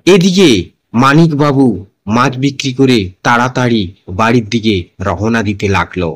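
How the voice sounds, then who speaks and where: A man's voice: medium pitch, moderate pace, dry recording. Male narrator for a scripted cartoon ghost story.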